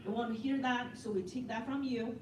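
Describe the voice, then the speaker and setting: A woman's voice: fairly high pitch, steady tone, fairly quick, a little mellow. A woman on an advisory board addressing a community meeting in an earnest, rallying way. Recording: echoey room, distant mic, background noise.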